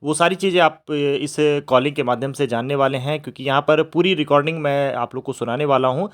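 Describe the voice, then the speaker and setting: A man's voice: on the high side, fast. A man speaking as a channel host, informally explaining things and addressing his viewers directly. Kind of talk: informal video introduction to viewers.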